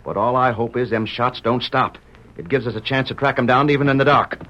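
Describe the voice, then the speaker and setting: An actor playing a gruff, wary sheriff in an old radio western, blunt and folksy with a Western drawl. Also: male voice, mid-range voice, fairly even intonation, a little fast, a bit bright, lightly acted. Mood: gruff, urgent. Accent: American Western drawl. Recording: dull, noisy old radio recording.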